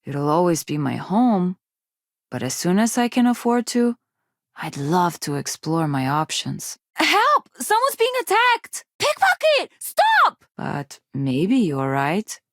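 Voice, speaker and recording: A close, dry recording of a young woman student from a poor colony in a video game. Her pitch sits slightly low but moves around a lot. She speaks shyly and haltingly, awkward and quick to put herself down. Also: wildly swooping pitch, fairly bright tone, no room sound, full character voice. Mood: earnest, downcast, then panicked, yelling. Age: young adult. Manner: hesitant, awkward, self-deprecating. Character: shy, self-doubting young undergraduate woman from a poor space colony. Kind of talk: video game dialogue acting.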